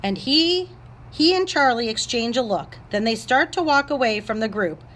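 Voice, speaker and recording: A woman's voice: a little high, expressive, normal speed, fairly bright tone. A woman narrator reading scripted stage directions aloud.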